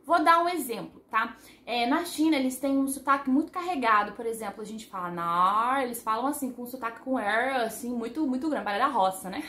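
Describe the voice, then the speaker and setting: A woman's voice: on the high side, brisk, hardly any pauses, sharp tone, echoey room. A woman giving a casual language lesson to her channel's viewers, chatty and rambling, often correcting herself and laughing at her own slips. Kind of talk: casual explanatory lesson to camera. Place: living in Taiwan.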